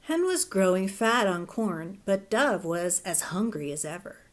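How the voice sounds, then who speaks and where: Woman, teacher, children's story reading, gentle and expressive, medium pitch. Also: unhurried. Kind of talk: children's read-aloud narration.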